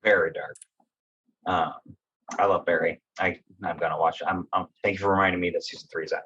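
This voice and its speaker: Low, slightly muffled man, chatting haltingly. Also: steady tone, fairly bright tone, husky. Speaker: podcast guest. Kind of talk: casual chat, giving recommendations. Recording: slightly dull, lo-fi audio.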